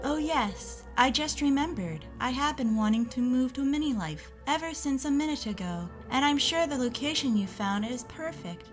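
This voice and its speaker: A woman's voice: high-pitched, expressive, normal speed, hardly any pauses, faint music behind. A woman voicing a polite, trusting young woman in a cartoon, courteous and earnest. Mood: agreeable, entranced. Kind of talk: animated web series dialogue.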